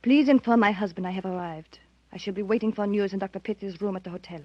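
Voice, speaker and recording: A husky-voiced, refined wife in an old radio thriller played by an actress, anxious and dramatically earnest. The sound is dry and muffled, like a dull vintage broadcast. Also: female voice, mid-range voice, quick pace, dry recording, close to an everyday voice. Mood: polite, worried. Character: anxious, refined married woman fearing a criminal mastermind. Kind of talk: 1930s radio drama acting.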